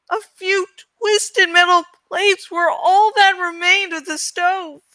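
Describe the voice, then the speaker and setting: A woman's voice: extremely high pitch, sharp tone, no echo, low-quality recording. A woman hosting a read-aloud, moving between casual asides and dramatic audiobook-style narration of a novel. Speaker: host reading a novel aloud. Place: home study, close dry recording.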